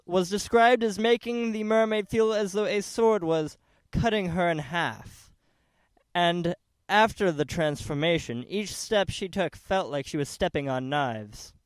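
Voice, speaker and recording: High-voiced young-sounding man reading scripted narration. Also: clear, resonant tone, dry recording. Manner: formal, careful, essay-like delivery. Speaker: documentary narrator. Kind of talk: scripted narration, read aloud.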